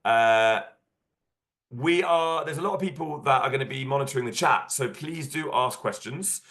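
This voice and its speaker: Croaky man hosting an online workshop. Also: medium pitch, normal speed, a bit bright. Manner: casual, filler-heavy, upbeat. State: hoarse from a cold. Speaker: workshop host.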